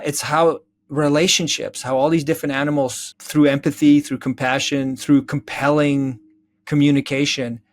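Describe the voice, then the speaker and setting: A middle-aged man talking reflectively as a podcast guest, earnest, building his points in emphatic, repeated phrases. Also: mid-range voice. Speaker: guest, children's story author. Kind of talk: reflective podcast interview conversation.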